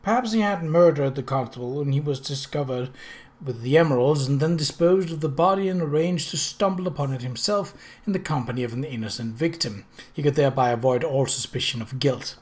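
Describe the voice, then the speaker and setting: A narrator reading a period mystery novel aloud in an expressive, scripted style. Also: mid-range voice, a little fast. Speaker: audiobook narrator.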